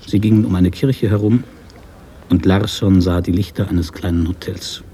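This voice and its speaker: A man narrating a literary radio drama from a script in a measured, sober manner. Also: sits low, fairly even intonation, mellow, slight background noise.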